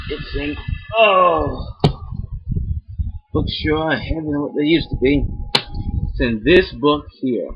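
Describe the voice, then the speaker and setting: A man's voice: a little high, husky, lo-fi sound, exaggerated voice. A man voicing a kindly, forgetful old grandpa who chats and rambles as he addresses children.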